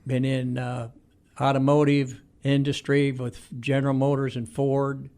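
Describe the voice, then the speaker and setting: A man's voice: mid-range voice, completely flat delivery, measured pace, darkish tone. A man in his eighties from Indiana, reminiscing as an interview guest in a plain-spoken, hesitant way. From Indiana, United States. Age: 80s. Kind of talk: conversational reminiscing interview answers.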